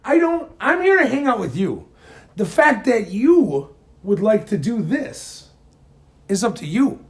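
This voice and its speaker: A man with a big, booming voice trades sarcastic jabs in a home garage. His pitch swings widely as he teases. He holds the phone mic loosely, so his voice comes and goes. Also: unhurried, slight background noise. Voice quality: booming, projecting voice. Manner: teasing, sarcastic, animated. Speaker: podcast guest. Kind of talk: casual chat. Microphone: phone held away from the face.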